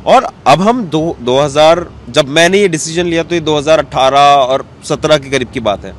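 A man in his thirties, a cartoonist guest, answering interview questions at length and mixing in English terms, recorded outdoors on a handheld mic. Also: high voice. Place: outdoors in an open courtyard. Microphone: handheld mic held out by interviewer. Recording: faint outdoor street noise.

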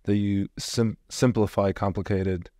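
A man hosting a podcast, introducing and questioning a guest in a measured, halting manner. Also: fairly low pitch, level tone, warm tone, dry recording, clean studio sound. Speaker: podcast host, interviewer.

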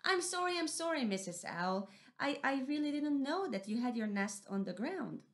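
A woman's voice: high voice, sing-song, normal speed, pitched above the performer's own voice. A woman voicing a small, clever male mouse deer who talks earnestly. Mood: polite.

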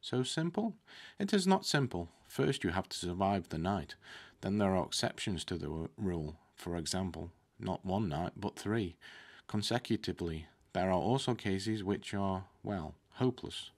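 Low-voiced man reading fantasy aloud. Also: expressive, normal speed, few pauses, a little mellow. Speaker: book reader. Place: indoor room with curtains, at a desk. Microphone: desktop microphone close in front.

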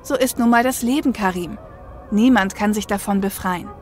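An actress playing a caring, sceptical, down-to-earth young female mercenary in an audio drama, sober and gently chiding. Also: mid-range voice, moderate pace, natural voice. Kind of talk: German audio drama acting.

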